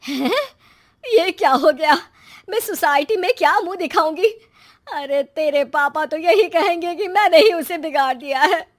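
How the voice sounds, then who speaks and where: A woman's voice: very high voice, normal speed, pitched above the performer's own voice. A woman voicing a naive, easily worried mother in a storybook tale, anxious and protesting. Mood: alarmed.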